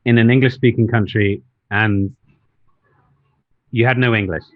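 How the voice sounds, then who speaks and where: A man's voice: on the low side, fairly even intonation, unhurried, lo-fi sound. A man hosting a podcast interview, encouraging his guest and nodding along with short replies. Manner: encouraging, frequent backchannels. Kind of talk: interview, casual talk.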